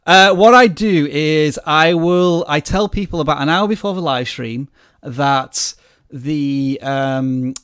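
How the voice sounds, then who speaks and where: A man's voice: on the high side, takes it slowly, a bit bright, smooth, no room sound, studio quality. A man hosting a live-stream Q&A, rambling and self-deprecating in a casual chat. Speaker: host.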